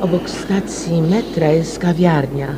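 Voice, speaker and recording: A patient woman investigator in a radio play. Her voice is slightly low and very measured in pace, gently coaxing and probing, over clearly audible background ambience. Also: background noise. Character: calm, persistent, kindly woman amateur sleuth. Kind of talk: radio drama acting.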